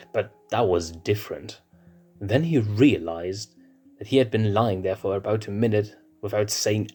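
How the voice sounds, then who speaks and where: A man narrating a fan-written story in a steady, even read-aloud voice. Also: fairly low pitch, slightly rough. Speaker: fanfiction reader.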